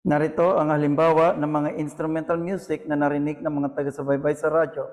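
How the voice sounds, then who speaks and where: A man's voice: fairly high pitch, little pitch movement, a little fast, darkish tone. Formal Filipino man narrating. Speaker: video narrator. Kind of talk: scripted educational narration.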